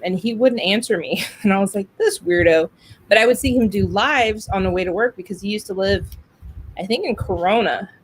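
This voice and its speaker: Female podcast host, medium pitch, casual and chatty, storyteller who voices the quotes. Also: slight background noise, poor audio. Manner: talkative, animated storytelling with acted-out quotes.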